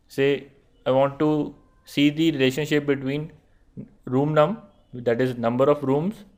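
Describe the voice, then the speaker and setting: A young man with an Indian accent, narrating an online course tutorial and speaking straight to students in a steady explanatory way. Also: a little high. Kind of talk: screen-recorded tutorial narration.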